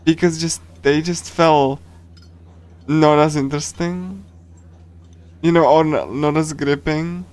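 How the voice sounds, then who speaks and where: A man streaming a game, chatting casually and reading along in a rambling, halting way with repeated phrases. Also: a little high, steady tone, darkish tone. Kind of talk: casual livestream chat while reading and translating a game.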